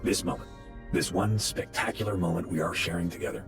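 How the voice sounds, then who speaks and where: An actor playing a self-absorbed washed-up horse actor, speaking in a wistful, musing way. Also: male voice, low-pitched, a little fast, soft background music, natural voice. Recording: roomy clip from far off.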